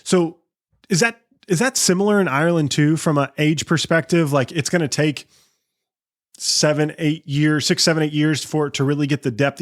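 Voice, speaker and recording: A man hosting a podcast, chatting and asking long, winding questions. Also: on the high side. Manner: conversational, asks long rambling questions. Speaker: podcast host. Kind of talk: casual podcast interview.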